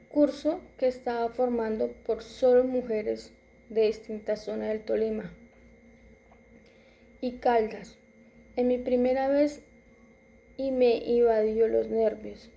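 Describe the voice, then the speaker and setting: A woman in her twenties reads her own story aloud, stumbling and restarting words now and then. Her voice is fairly high yet very dark in color, with a gravelly rasp at the edges. The delivery stays flat and even, with little rise and fall. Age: 20s. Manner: halting reading with restarts and self-corrections. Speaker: student. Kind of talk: reading a personal narrative aloud.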